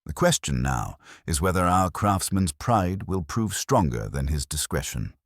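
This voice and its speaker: A man's voice: low-pitched, big pitch swings, a little slow, no echo, studio quality, full character voice. A man voicing a shrewd, understated English police inspector in a mystery audiobook, conversational yet formal. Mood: calm, probing.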